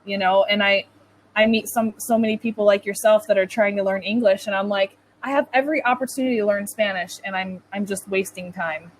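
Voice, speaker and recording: A woman's voice: mid-range voice, steady tone, a little fast, slight background noise, rough recording. A woman with a North American accent tutoring English in casual, informal conversation, often saying "like". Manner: chatty, informal, says "like" often. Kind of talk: casual one-to-one lesson chat. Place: online video call lesson.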